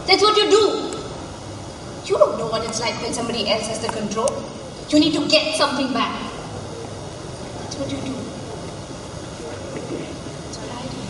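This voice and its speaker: Stage play: woman playing confrontational, impassioned woman with animated high voice, far-off hall recording with background noise. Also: normal speed, pauses often. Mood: insistent. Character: passionate, challenging woman confronting a male colleague. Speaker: stage actor. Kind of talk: student stage drama acting. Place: theatre stage, recorded from afar. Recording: echoey hall, far mic, noisy background.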